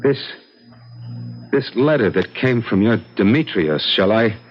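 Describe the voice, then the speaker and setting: Haunted, halting man, very animated. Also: fairly low pitch. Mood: uneasy. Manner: halting, pleading, aghast. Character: frightened, guilt-ridden man haunted by his dead twin. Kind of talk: old-time radio drama acting. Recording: muffled old radio broadcast with music.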